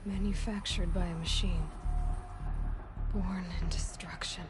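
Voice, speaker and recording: A woman's voice: lowish voice, fairly even intonation, measured pace, natural voice. A woman playing a young, intense outcast heroine in a game, brooding and bitter. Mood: bitter, hurt. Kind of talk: scripted dramatic game dialogue. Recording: loud music behind voice.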